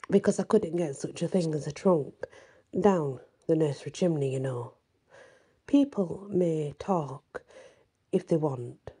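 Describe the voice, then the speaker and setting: Female storyteller, very mellow and muted in tone, husky, reading a children's tale gently. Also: on the low side. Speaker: channel host and story reader.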